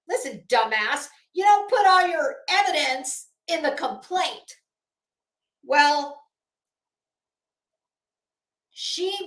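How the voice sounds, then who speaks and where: Female livestream host, high register, blunt and sweary, halting emphasis, recorded in a roomy, echoey space. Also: fairly bright tone. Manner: blunt, profane, opinionated. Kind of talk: casual livestream commentary.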